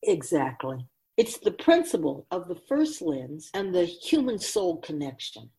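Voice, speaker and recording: A woman's voice: on the low side, very animated, unhurried, mellow. A woman playing a wise, grave otherworldly keeper of souls in a radio drama, speaking in an oracular, instructive and emphatic way. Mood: calm, oracular.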